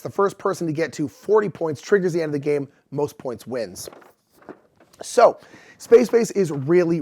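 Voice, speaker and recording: Male host, 30s, animated explainer, voice somewhat worn from illness, pitched a touch high. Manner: chatty and animated, gesturing with hands. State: recovering from illness, voice a bit rough. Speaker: board game channel host. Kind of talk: casual explanatory talk to camera. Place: indoor room in front of game shelves.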